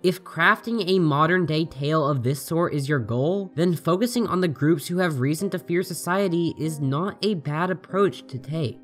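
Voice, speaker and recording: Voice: mid-range voice, moderate pace, few pauses. A reviewer reading a scripted video essay in an articulate, essayistic way. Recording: voice over background music. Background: music.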